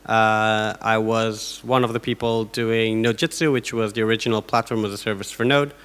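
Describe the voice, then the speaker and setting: A man's voice: on the low side, flat delivery, moderate pace, a bit bright, clean tone. A man with a Portuguese accent giving an informal introduction as a panelist. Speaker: panelist, consultancy head. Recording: reverberant conference hall.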